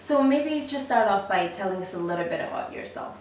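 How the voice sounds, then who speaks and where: A woman hosting an interview, asking measured questions and encouraging the guest with frequent short replies. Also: medium pitch, animated, a little mellow. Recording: echoey room, distant mic, faint noise.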